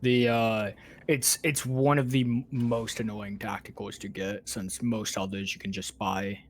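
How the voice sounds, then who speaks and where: A man's voice: lowish voice, flat delivery, a little slow, a little hiss or hum. A man chatting casually with fellow players over gaming voice chat. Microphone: online voice chat.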